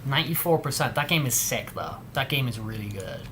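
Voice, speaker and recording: A man's voice: mid-range voice, animated, brisk, noisy background. A man with an English accent hosting a livestream, chatting casually in a rambling, slangy way. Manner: rambling, heavy on slang like dude and bro. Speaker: livestream host.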